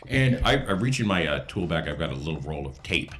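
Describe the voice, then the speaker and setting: A man with a deep voice plays a tabletop role-playing game. He chats casually, slipping between describing actions and speaking for his character. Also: sing-song, fast talker, fairly bright tone.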